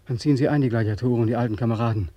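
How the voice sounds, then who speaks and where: An actor playing a haunted, sleepless corporal back from war, intense and insistent in a German radio play. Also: male voice, mid-range voice, rapid-fire, dark tone, lightly acted. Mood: grimly sardonic. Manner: intense, insistent, declamatory, theatrical.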